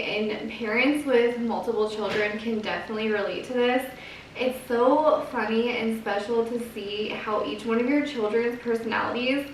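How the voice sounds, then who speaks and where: A woman in her thirties talks in a soft, quiet voice with an earnest, gentle manner and a slightly high pitch. She is picked up from a distance in a very echoey room. A faint hiss of noise sits underneath. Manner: gentle, earnest, chatty with fillers. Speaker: vlogger, mom of three. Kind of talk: casual chat to camera. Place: home.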